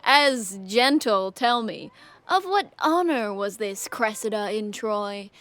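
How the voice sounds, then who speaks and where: Young woman playing an unhurried, courtly Greek lord. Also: mid-range voice, animated, a bit bright, no room sound. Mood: probing, polite. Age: young adult. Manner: formal, measured. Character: Ulysses, courteous, shrewd male Greek lord. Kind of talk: stage Shakespeare audio drama.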